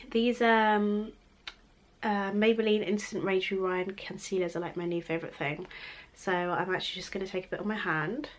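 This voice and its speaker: A young woman chatting casually through a makeup tutorial, her voice a little croaky from a cold, rambling and laughing easily. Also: mid-range voice, fairly even intonation, measured pace, long pauses. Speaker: beauty vlogger.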